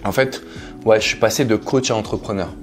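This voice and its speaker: A man in his thirties from France, a love coach talking business as a guest, relaxed and sure of himself, often filling pauses with "euh" and "tu vois". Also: on the low side, over music. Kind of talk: casual interview chat. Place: bright living room, indoors, on a sofa.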